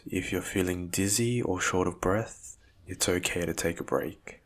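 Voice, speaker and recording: A man guiding a breathing meditation as a physiotherapist, speaking in measured, soothing phrases with calm instruction. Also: low-pitched.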